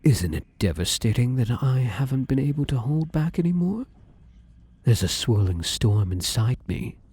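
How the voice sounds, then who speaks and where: A man playing a possessive, domineering living shadow in an intimate ASMR roleplay, coaxing and commanding in a breathy, husky voice. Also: mid-range voice, mellow. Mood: yearning, domineering. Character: possessive, domineering living shadow, male, obsessively devoted lover.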